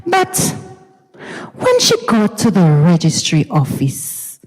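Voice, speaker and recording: A woman giving a dramatized literary reading on stage in a recital hall, deliberate and theatrical, with pauses and repetition. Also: medium pitch, wildly swooping pitch, a little mellow, slightly rough. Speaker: dramatic reader. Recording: recital hall with long reverb.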